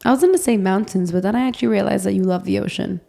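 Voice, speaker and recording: A woman's voice: mid-range voice, very fast, vocal fry, mellow. A young woman whose first language is Portuguese, co-hosting a podcast in casual, playful, informal chat. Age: young adult. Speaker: podcast co-host.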